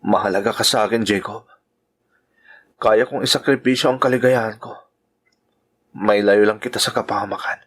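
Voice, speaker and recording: A man's voice: fairly low pitch, steady tone, moderate pace, long pauses, a bit bright. A man with a Filipino accent acting an earnest, self-sacrificing young lover and devoted brother in a sentimental Tagalog radio drama. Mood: solemn, pleading. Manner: earnest, sentimental delivery, mixing in English phrases.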